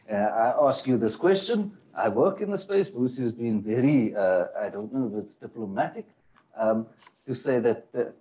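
A man's voice: mid-range voice, mellow, rough recording. A man moderating a panel discussion, asking long questions with searching, hesitant phrasing and frequent fillers.

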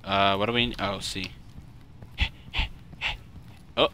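A young man giving joking, excitable let's play commentary while playing a shooter game. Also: low-pitched, slow, a little hiss or hum. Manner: goofy, joking, excitable outbursts. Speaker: gaming YouTuber.

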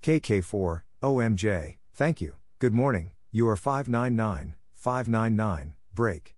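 A man narrating practice drills in a measured, neutral, scripted way, reading out letters, numbers and short phrases with clear enunciation. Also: on the low side, lively intonation, a little mellow, no echo.

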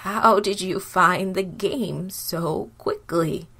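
A woman voicing a frail, solemn old father in a children's Bible story, with drawn-out, weighty, deliberate speech. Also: fairly low pitch, sharp tone, husky, heavily acted. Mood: suspicious.

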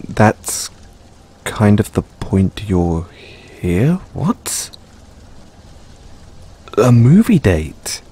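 A man's voice: fairly low pitch, breathy edge, full character voice. A man playing an affectionate, teasing middle-aged father doting on his younger boyfriend in an intimate audio roleplay, speaking playfully and fondly to the listener. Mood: fond amusement. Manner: playful, fond, chuckling between unhurried phrases. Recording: steady rain noise in background. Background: rain falling.

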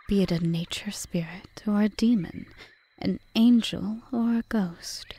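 A composed witch with a grievance , acted by a woman for an ASMR roleplay. Her voice is soft, dark and mid-pitched, often slipping toward a whisper. She lays out her terms slowly in measured, formal phrases. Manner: measured, formal, breathy half-whispered delivery. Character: cool, bargaining yandere witch, aggrieved yet composed. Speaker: audio roleplay performer.

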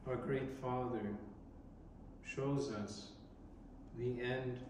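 A man in his thirties, an Orthodox priest, giving a reflective sermon with pauses, recorded from a distance in a large church. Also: mid-range voice, flat delivery. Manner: slow, reflective, with pauses and restarts. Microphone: distant camera in the congregation. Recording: echoey church from far off, background noise.

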